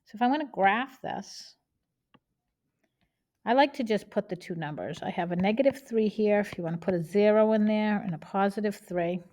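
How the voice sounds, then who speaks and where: A woman's voice: medium pitch, normal speed, warm tone. A woman with a Boston accent teaching a math lesson, explaining each step plainly and checking in with "okay?".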